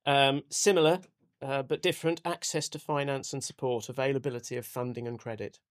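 A man's voice: medium pitch, fairly even intonation, brisk. A man hosting a business podcast, steering the talk with upbeat guiding questions and frequent "you know". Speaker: podcast host.

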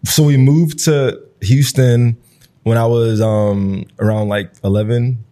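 A man's voice: mid-range voice, steady tone, slow, mellow. A Nigerian-born man in his thirties, Igbo his first language, talking in an interview in a loose, reflective way full of "like" and "you know". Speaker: guest. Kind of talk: conversational interview.

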